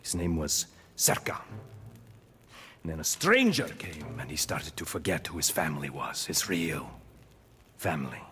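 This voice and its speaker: An actor playing a scheming male mercenary leader in a video game, with a slow, silky, mocking drawl. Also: very deep voice, sing-song, bright, forceful tone, slight background noise, exaggerated voice. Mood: smug mocking. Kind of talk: video game cutscene acting. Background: game score music.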